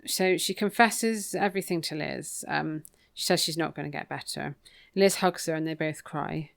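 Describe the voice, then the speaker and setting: A woman's voice: deep voice, moderate pace, creaky. A woman co-hosting a podcast, casually recapping a book in an informal, matter-of-fact way. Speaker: podcast co-host.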